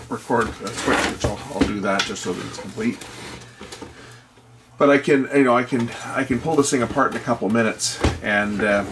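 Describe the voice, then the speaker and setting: A middle-aged or older man giving casual, unscripted repair commentary, rambling and halting as he thinks aloud. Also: fairly low pitch, gravelly, noisy background. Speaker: electronics repair video host.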